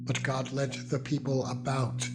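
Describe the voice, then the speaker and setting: A man reading scripture as a solemn, dramatized narration, with deliberate, weighty phrasing. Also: medium pitch, completely flat delivery. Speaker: Bible narrator. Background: music.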